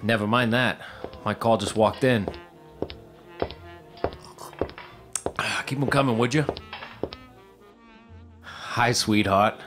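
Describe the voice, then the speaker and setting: A man's voice: on the low side, music in the background, natural voice. Man playing pleading, stammering screenwriter dreamer. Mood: eager, sweet. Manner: stammering, fast-talking, pleading. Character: eager, deluded struggling screenwriter pleading with his girlfriend. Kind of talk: period audio drama acting.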